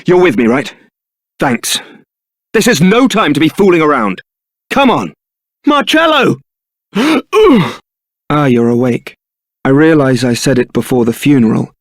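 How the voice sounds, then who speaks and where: Young british knight for a video game, smooth rogue charmer, real dry studio sound. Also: male voice, medium pitch, no room sound. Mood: urgent, alarmed, then courteous. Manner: suave, teasing, polite. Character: suave young male templar knight, roguish and charming. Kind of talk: scripted video game character acting.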